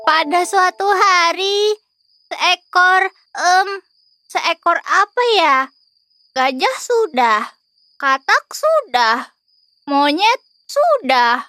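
A woman playing a sweet young girl in a children's storybook. The voice is very high and childlike. She speaks slowly and hesitantly. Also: lively intonation, bright, forceful tone, no room sound, soft background music, full character voice.

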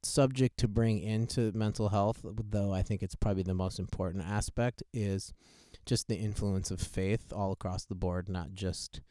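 A man co-hosts a casual podcast, his voice sitting a little low. He rambles with lots of fillers and restarts, recorded close and dry with no room sound. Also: steady tone, moderate pace, hardly any pauses, mellow, no echo. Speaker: podcast co-host.

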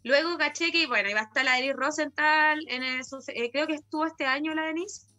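A woman's voice: sits high, a little fast, sharp tone, soft background music. A woman with a Chilean accent, a guest singer chatting casually in slangy speech and tagging her sentences with a question. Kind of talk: casual conversation.

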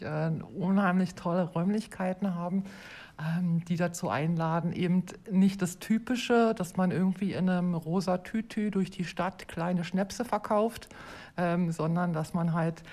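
Lowish-voiced woman in her forties. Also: darkish tone. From Germany. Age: 40s. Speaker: podcast guest, hotel hospitality professional. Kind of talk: casual interview talk.

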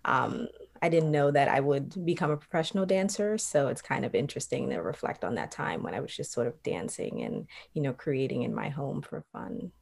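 A young woman from Trinidad talks about her path into dance, her voice low and gravelly with vocal fry. She answers reflectively, with frequent fillers and pauses. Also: animated, a little mellow. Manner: reflective, many ums and you-knows. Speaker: professional dancer, guest. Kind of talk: conversational interview answers.

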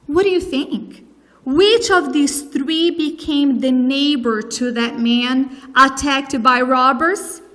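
A woman from Brazil with a Brazilian Portuguese accent, giving an earnest sermon as a guest preacher, with pauses and fillers. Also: sits high, fairly bright tone, in a large hall.

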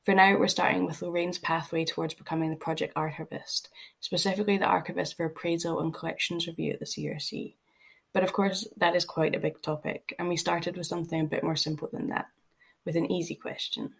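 Gravelly, husky narrator introducing an interview. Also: mid-range voice, little pitch movement, a little fast, a bit bright.

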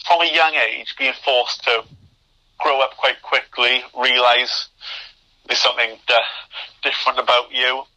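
A man's voice: medium pitch, steady tone, unhurried, pushed and bright, rough recording. A young man who stammers, with repeated word starts and blocks, speaking as a guest in a podcast interview over a remote call. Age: young adult. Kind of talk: interview conversation.

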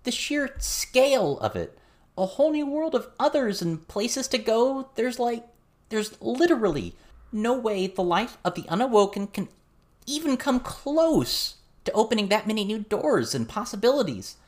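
A woman's voice: on the high side, big pitch swings, moderate pace, darkish tone. A woman voicing a curious, outspoken teenage girl in an earnest, informal, questioning way. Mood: eager, insistent.

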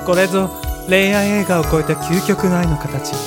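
A man's voice: high-pitched, fairly even intonation, moderate pace, natural voice. A man playing a melodramatic trailer announcer for a romance film, in dramatic scripted narration. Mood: dramatic, grand. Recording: music behind the voice.

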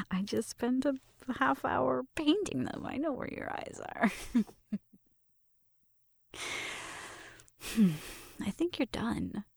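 A woman playing a shy, flirtatious roommate in an intimate ASMR roleplay, speaking in a breathy, hesitant, tender whisper. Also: sits high, expressive, takes it slowly, no echo, clean studio sound, heavily acted. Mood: pleased, teasing. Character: shy, flirtatious female roommate giving an affectionate Halloween makeover.

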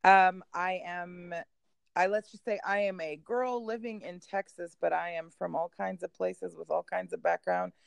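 A woman's voice: fairly low pitch, normal speed, vocal fry, no room sound. A Canadian-accented woman guest on a podcast, born in Poland and raised in Canada, talking casually with easy laughs. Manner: chatty, laughs easily, self-deprecating. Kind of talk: casual conversational chat. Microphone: remote call.

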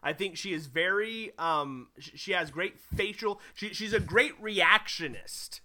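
A man's voice: high-pitched, measured pace, sharp tone. A man hosting a casual film chat, steering the talk with many restarts. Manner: hesitant, many restarts and fillers while guiding the talk. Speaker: podcast host steering the discussion.

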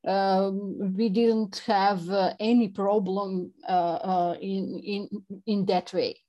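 A woman from Serbia with a Serbian accent, speaking English as a second language as an interview guest, halting with many fillers and restarts. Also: medium pitch, flat delivery, lo-fi sound. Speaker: interview guest, chapter representative. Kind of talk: non-native conversational English interview.

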